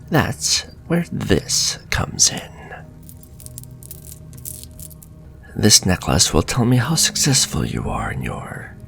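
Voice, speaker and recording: A sly warlock voiced by a man murmurs in a very low, gravelly half-whisper for a fantasy ASMR roleplay. He is flirtatious and sadistic, taunting at an unhurried, drawn-out pace. Soft music plays behind him. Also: exaggerated voice. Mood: smooth, calculating. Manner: slow, taunting, breathy murmur with soft chuckles. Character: sly, sadistic, flirtatious male warlock striking a cruel bargain.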